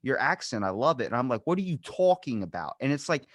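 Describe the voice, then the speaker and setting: A man's voice: mid-range voice, big pitch swings, quick pace, no room sound, clean studio sound. A man with a Maryland accent from near Baltimore, hosting a casual chat in a rambling, enthusiastic way. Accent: Maryland, Baltimore area. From Ocean City, Maryland, USA. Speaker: podcast host, interviewer.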